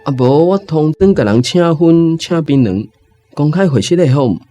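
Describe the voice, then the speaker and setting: An actor gives voice to a bashful, honest village candy peddler of middle age in a radio play. His tone is mellow and earnest, rising and falling as he apologizes and insists on making things right. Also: a little high, animated, moderate pace, faint music behind, lightly acted. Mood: apologetic. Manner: apologetic and earnest, stubborn about making amends. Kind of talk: Taiwanese radio drama acting.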